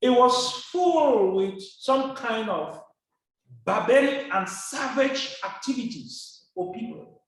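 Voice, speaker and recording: A man from Cameroon giving a formal seminar presentation, speaking slowly and deliberately. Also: very high voice, animated. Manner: slow, deliberate, formal phrasing. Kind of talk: lecture presentation. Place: seminar hall. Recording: echoey hall from a distance.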